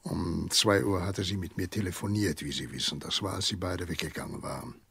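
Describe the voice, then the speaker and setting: Husky, low-voiced old family doctor. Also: male voice, brisk, a bit bright, no room sound, full character voice. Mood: grave, insistent. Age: older. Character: elderly family doctor, grave, careful and kindly. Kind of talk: German radio play acting.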